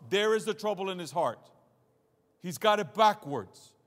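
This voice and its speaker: A man's voice: sits high, lively intonation, a little slow, a bit bright. A man preaching a sermon as a pastor, emphatic and dramatic, leaning on repeated phrases and rhetorical questions. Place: large hall with congregation and screen, streamed to viewers at home. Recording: long hall reverb.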